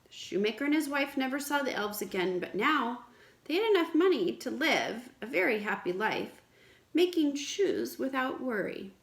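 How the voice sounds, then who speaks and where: A woman teacher reading aloud to children in an expressive, explanatory way, with questions for the listeners. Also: sits high, normal speed, vocal fry. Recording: echoey room.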